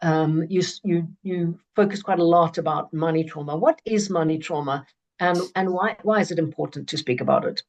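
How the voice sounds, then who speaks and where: Host, woman from Zambia, slightly low voice, eager, stumbles and restarts often. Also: darkish tone, lo-fi sound. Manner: halting, many restarts, enthusiastic. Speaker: webinar host. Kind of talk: hosting an online webinar.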